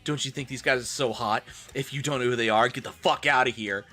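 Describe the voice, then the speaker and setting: A man streaming a comedic dramatic reading in a theatrical, expressive manner. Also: mid-range voice, a little fast, soft background music.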